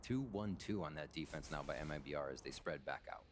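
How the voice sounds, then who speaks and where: A male esports analyst with a very low voice, breaking down the play in a steady, explanatory way. Clear background noise runs through the broadcast feed. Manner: measured, explanatory color commentary. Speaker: esports caster. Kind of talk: analytical commentary.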